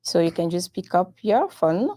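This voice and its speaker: A French-speaking woman hosting a live-streamed interview, talking in a halting, unhurried way and moving between English and French. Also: fairly low pitch, lively intonation, mellow. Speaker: host of a live show.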